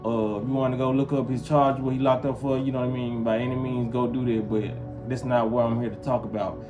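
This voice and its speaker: A man's voice: mid-range voice, little pitch movement, brisk, music in the background. A man from Memphis talking casually to his viewers, rambling and often checking in with them. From Memphis, US South. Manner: rambling, keeps asking "you feel what I'm saying". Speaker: vlogger. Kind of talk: casual talk to camera.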